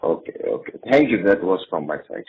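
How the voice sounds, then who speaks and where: Male Indian analyst, husky mid-pitched voice, hesitant phrasing, phoning into a conference call on a narrow-band line. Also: very animated, brisk. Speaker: analyst caller. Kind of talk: earnings call questioning. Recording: muffled, dull conference phone line.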